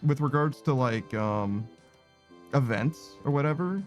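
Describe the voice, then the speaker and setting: A man's voice: medium pitch, animated, a little slow. A young man streaming a game, rambling casually with many restarts and fillers. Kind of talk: casual chat while gaming. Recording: faint music behind.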